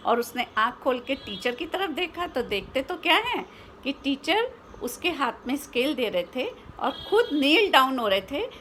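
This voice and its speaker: A woman's voice: sits high, very animated, unhurried, a bit bright, slight background noise. A woman with an Indian accent telling a children's story informally, explaining as she goes with rhetorical questions and mixed-in English words.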